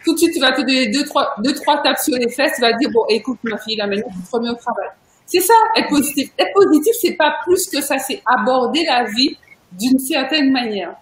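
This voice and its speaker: Woman host, high voice, chatty, emphatic, echoey room. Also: animated, fairly quick, a little hiss or hum. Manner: chatty, emphatic, laughs often. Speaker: interview host. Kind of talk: casual video interview chat.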